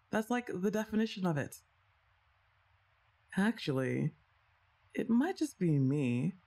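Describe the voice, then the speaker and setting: A young woman performing a scripted ASMR roleplay, talking straight to the listener in a teasing, playfully bossy way, with sighs and soft laughs between lines. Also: sits low.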